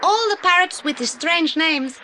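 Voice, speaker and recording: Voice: extremely high pitch, expressive, measured pace, sharp tone, slight background noise, full character voice, rough recording. An actor playing an earnest, polite young boy with a Spanish accent and broken English in an audio play. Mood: earnest, eager. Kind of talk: 1980s audio play acting.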